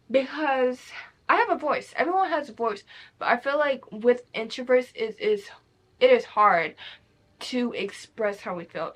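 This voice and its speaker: A young woman hosting her own podcast, talking in a rambling, candid way and swearing freely. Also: a little high. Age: young adult. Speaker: podcast host. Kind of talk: casual solo podcast talk.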